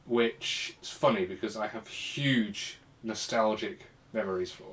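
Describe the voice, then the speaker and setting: A man's voice: on the low side, a little slow, fairly bright tone. Guy chatting on a podcast, sweary and informal, sounds kinda far from the mic. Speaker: podcast co-host. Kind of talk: casual podcast chat.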